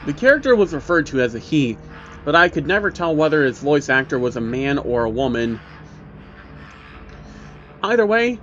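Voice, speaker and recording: A man narrating a scripted story in a casual, sardonic reviewer's manner. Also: medium pitch. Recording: dull lo-fi recording with faint noise.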